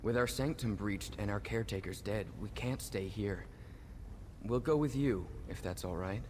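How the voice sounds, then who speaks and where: A man's voice: fairly low pitch, normal speed, a little mellow, lightly acted. Male actor as young powerful twin, nervous and sincere, reverberant with faint noise. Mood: subdued. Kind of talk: video game voice acting. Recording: reverberant hall, faint noisy ambience.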